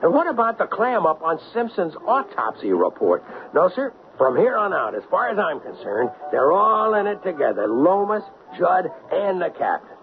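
A man's voice: high voice, wildly swooping pitch, moderate pace, exaggerated voice. A man playing an impulsive, defiant cop on the run in a 1970s radio drama, talking urgently and insistently. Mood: grimly determined. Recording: dull, faintly noisy 1970s radio recording.

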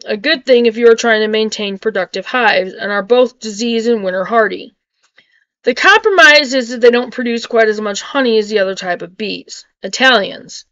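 A woman's voice: on the high side, a bit bright, clear, resonant tone, no echo, muffled recording. A middle-aged woman reading an article aloud with casual asides, sometimes stumbling over and repeating hard words, recorded on a webcam in a home kitchen. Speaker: homesteading vlogger. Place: small home kitchen with wood-panel ceiling, indoors.

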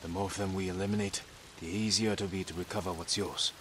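An actor playing a steady, calculating samurai in a video game, hushed and tactical. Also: male voice, deep voice, fast, slight background noise. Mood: focused.

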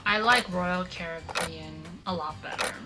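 A woman's voice: lowish voice, measured pace, over music. A young woman talking casually and candidly on a vlog, recorded on a phone at arm's length in a small ship cabin. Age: young adult. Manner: candid, plain-spoken, trails off mid-sentence. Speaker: vlog host. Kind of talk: casual chat. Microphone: phone camera at arm's length.

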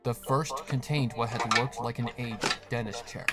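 A man's voice: mid-range voice, fairly even intonation, moderate pace. A man reading scripted horror story narration as the narrator. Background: music.

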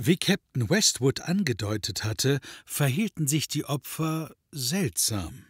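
An actor playing the wry narrator of a detective comedy audio drama, reading scripted narration. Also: male voice, medium pitch, sing-song, a little slow, fairly bright tone, breathy edge, dry recording, full character voice. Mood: dryly ironic.

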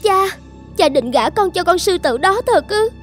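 A woman's voice: extremely high pitch, lively intonation, moderate pace, soft background music. A woman voicing a frightened young woodcutter's daughter in a cartoon fable, timid and pleading. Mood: fearful.

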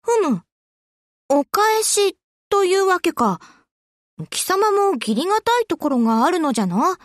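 A lordly anime game girl who speaks in an archaic, condescending way. Her voice is high and very expressive, and she takes her time over each phrase. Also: measured pace, no room sound, clean studio sound, exaggerated voice. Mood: grudgingly pleased. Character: haughty girl warship with old-fashioned, lordly speech. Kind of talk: Japanese anime game voice acting.

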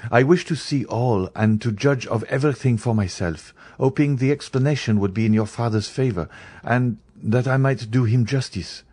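A man's voice: mid-range voice, moderate pace, a little mellow, husky, no echo, natural voice. A man voicing an earnest young male journalist, a grave and loyal friend, with emphatic, formal delivery in an audiobook. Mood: compassionate, solemn. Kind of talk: dramatised audiobook reading.

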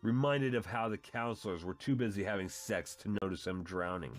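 A man with a fairly low voice narrates a comic book story in a dramatic style, as the host of the reading. Music plays clearly behind him. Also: brisk.

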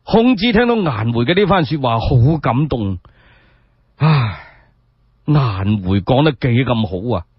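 A man telling a scripted story in Cantonese radio style, expressive and measured, with rhetorical asides, on a dull recording. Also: medium pitch, breathy edge, no room sound. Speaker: solo storyteller.

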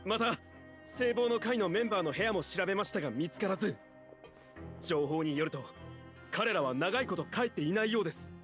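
A man's voice: high-pitched, expressive, brisk, fairly bright tone, soft background music. An actor playing an earnest young male guard in a game, reporting formally and dutifully to his superior. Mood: urgent. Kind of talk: Japanese game voice acting.

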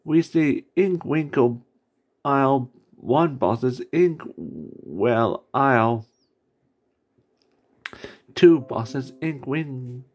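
A man reading amateur character voice lines indoors in a halting way, stumbling over words. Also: mid-range voice, animated, darkish tone, slightly rough. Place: indoors at a computer desk.

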